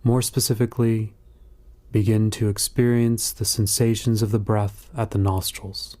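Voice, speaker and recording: Male meditation narrator: lowish, very soft-edged relaxed tone, near-flat delivery, calm and unhurried phrasing. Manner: calm, measured, soothing instruction with long pauses. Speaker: meditation guide.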